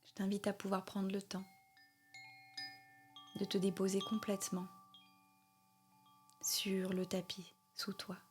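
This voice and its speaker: A woman leading a guided meditation as a yoga nidra guide, gentle and soothing, speaking in short phrases with long pauses. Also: mid-range voice, completely flat delivery, breathy edge, faint music behind.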